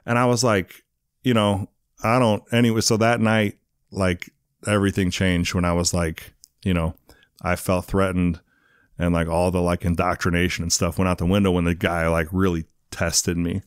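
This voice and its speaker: A man with a very deep voice tells his story in a loose, rambling way, padding his sentences with fillers and asides. The sound is dry and close, with no room around it. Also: level tone, normal speed, smooth, no room sound. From England. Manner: rambling, many fillers, "you know what I mean". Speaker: guest. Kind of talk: casual storytelling interview.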